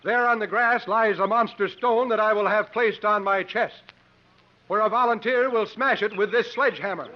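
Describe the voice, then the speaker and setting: A man's voice: sits high, moderate pace, lightly acted. An actor as a confident strongman showman, muffled old radio. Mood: proclaiming. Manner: articulate, formal, theatrical phrasing. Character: confident travelling strongman showman, uncle, playing sleuth. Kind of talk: 1950s radio drama acting. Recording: muffled vintage 1950s radio recording.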